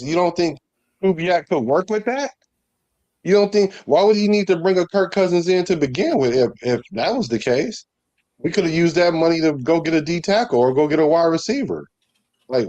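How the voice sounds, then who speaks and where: A man's voice: on the high side, darkish tone, no room sound. A man from the Bay Area arguing about sports in a casual, emphatic, rhythmic way, full of slang and repeating himself. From San Francisco Bay Area. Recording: dull lo-fi sound.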